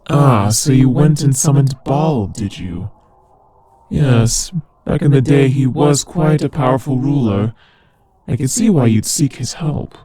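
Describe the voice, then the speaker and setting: A man voicing a haughty, teasing demon lord in an ASMR roleplay, imperious and condescending, with a husky voice. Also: mid-range voice, mellow. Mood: curious, condescending. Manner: imperious, condescending, playfully taunting. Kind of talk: ASMR roleplay audio drama addressed to the listener.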